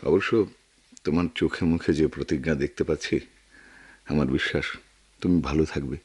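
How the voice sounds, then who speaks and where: A gentle sad-ish bangladeshi writer, low raspy voice, sincere, radio drama. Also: male voice, fairly even intonation, normal speed. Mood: tender resolve. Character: gentle, subdued writer regaining his courage. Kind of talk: Bengali radio drama acting.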